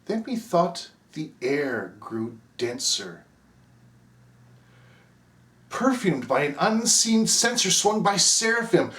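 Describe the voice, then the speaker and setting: A man recites gothic verse slowly and theatrically, in a fairly high-pitched voice, playing a narrator tormented by grief. His delivery is intense and dramatic. The voice sits away from the microphone. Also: big pitch swings, echoey room, distant mic. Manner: intense, theatrical, swinging from hushed to anguished shrieks. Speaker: amateur poetry reciter.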